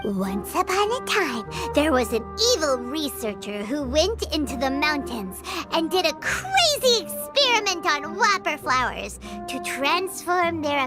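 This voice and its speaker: A woman voicing a small, excitable childlike fairy companion in a video game, dramatic and easily scared. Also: high-pitched. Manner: refers to herself in third person, dramatic. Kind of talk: video game cartoon voice acting.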